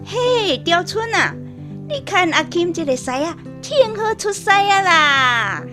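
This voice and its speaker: Talkative rural woman for radio play, Taiwanese accent, high and very lively, music behind. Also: takes it slowly, full character voice. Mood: delighted. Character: chatty, excitable village woman. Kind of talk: radio drama acting.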